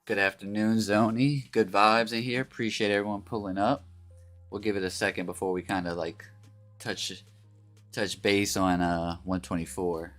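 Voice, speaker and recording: A man co-hosting a casual live stream. He has a cold, so his voice sounds stuffy and raspy, and he jokes around and greets viewers by name. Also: on the low side, fairly even intonation, normal speed, a bit bright, faint music behind. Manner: laid-back, joking, greets chat by name. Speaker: stream co-host.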